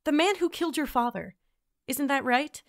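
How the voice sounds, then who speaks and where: A woman playing an earnest, quick-witted traveler on a mission in a fantasy audio drama. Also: high voice, lively intonation. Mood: rousing. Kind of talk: fantasy audio drama acting with log narration.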